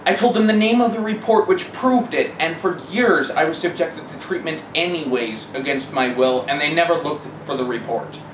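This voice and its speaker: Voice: medium pitch, moderate pace, few pauses. Emphatic speaker in a noisy, echoey room. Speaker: interviewee giving testimony. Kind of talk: personal testimony in an interview. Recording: echoey room, clear background noise.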